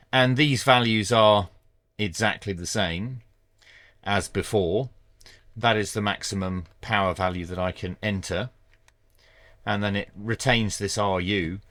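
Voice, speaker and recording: A man giving a hands-on tech review in a measured, matter-of-fact way. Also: fairly low pitch, a bit bright, dry recording. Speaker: product reviewer.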